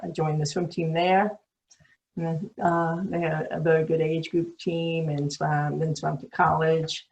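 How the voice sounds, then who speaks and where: A woman's voice: low-pitched, flat delivery, unhurried, vocal fry, a little mellow, rough recording. An older woman from New York City with a New York accent, telling her story as a guest on a call, with many ums and restarts. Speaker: guest swimmer. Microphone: video call.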